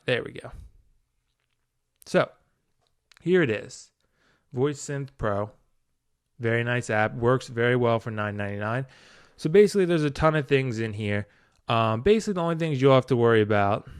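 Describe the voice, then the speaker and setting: Male tutorial presenter, informal and conversational, crisp tone, dry recording. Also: medium pitch, dry recording. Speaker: tutorial video maker. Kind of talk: casual hands-on app tutorial.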